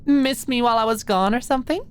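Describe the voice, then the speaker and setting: Woman voicing a sweet girlish young woman, pitched up. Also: fast, clear, resonant tone, close to an everyday voice. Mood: teasing.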